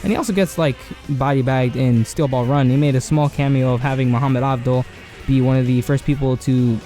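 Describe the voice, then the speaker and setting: A hoarse man with a cracking voice rambles through a casual commentary, full of slang and filler words. His pitch sits in an ordinary middle range, with faint music underneath. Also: steady tone, brisk, dark tone. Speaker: video essay host. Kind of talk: casual anime commentary monologue.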